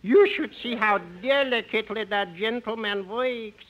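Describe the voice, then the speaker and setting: A man's voice: high-pitched, measured pace, fairly bright tone. A man playing a genial, silly comic character with a Yiddish accent and singsong, emphatic delivery in 1950s radio comedy. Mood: genial, earnest. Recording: noisy old 1950s radio recording.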